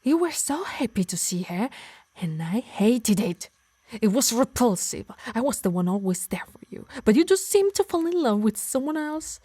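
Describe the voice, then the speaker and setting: A woman with an Italian accent playing a possessive, obsessed harpy in an ASMR roleplay, soft and breathy, slipping into whispers, both tender and menacing. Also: a little high, big pitch swings, normal speed, no echo. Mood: jealous, bitter. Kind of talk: intimate ASMR roleplay acting.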